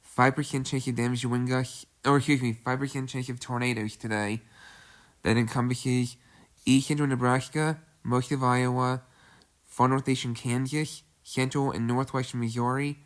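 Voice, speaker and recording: A man with a stuffy, congested voice hosting a casual severe weather briefing, halting and repeating himself with many fillers. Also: medium pitch, steady tone. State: congested from a cold. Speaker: weather video host. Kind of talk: casual weather briefing over outlook maps.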